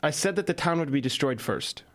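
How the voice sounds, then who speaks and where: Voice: mid-range voice, animated, fairly quick, lightly acted. An actor playing a helpless oracle compelled to answer questions in an audio drama. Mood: helpless, resigned.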